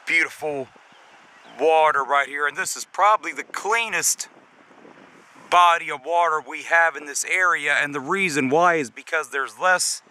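A man giving casual, halting, unscripted narration for a hiking vlog, speaking outdoors from behind a handheld camera. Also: a little high, sharp tone. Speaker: amateur hiking vlogger. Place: outdoors by a creek in a park. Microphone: handheld camera's built-in mic, speaker behind it.

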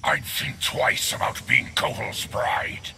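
An actor voicing a fierce monster warrior in a video game, growling and menacing in a gravelly voice. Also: male voice. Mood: grave warning. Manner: slow, menacing, declarative. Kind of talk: video game dramatic acting. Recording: loud background noise.

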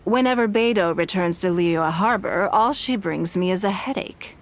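A woman voicing a poised, wealthy businesswoman in a video game, speaking in a measured, composed and authoritative way. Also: on the low side, slight background noise, natural voice.

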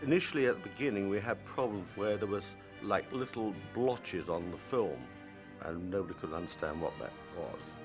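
Low-voiced man recalling a film shoot. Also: moderate pace, over music. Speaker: film crew member interviewed. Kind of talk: reminiscing interview.

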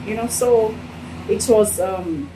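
A middle-aged Nigerian woman with a Nigerian accent, telling her story in a casual, animated interview in an office. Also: mid-range voice, slow, far from the mic, slight background noise, rough recording. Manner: animated, gesturing while talking. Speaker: interviewee, business owner. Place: indoor office with sofa. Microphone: handheld phone held out by interviewer.

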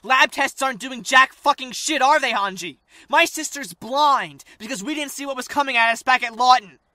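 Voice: high-pitched, normal speed, sharp tone, no echo. A performer playing a defiant, wounded teenage boy in an earnest, insistent zombie apocalypse audio drama. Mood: frustrated, resolute. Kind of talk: dramatic audio acting for visual-novel fan series.

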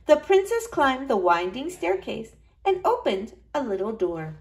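Animated woman reading a children's storybook. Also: a little high, unhurried, low-quality recording. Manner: expressive, addressing young children. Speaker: school librarian reading to children. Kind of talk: children's storybook read-aloud.

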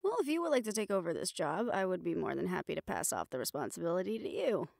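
A woman's voice: fairly low pitch, sing-song, quick pace, gravelly, a little mellow, no room sound, studio quality. A woman performing an audio roleplay, talking in casual, profane, wry banter.

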